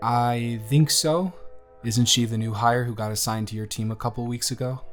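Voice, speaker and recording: A man playing a tender, reassuring young boyfriend in an intimate roleplay audio, speaking in a gentle, affectionate, soothing way. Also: mid-range voice, steady tone, moderate pace, close to an everyday voice. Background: instrumental music.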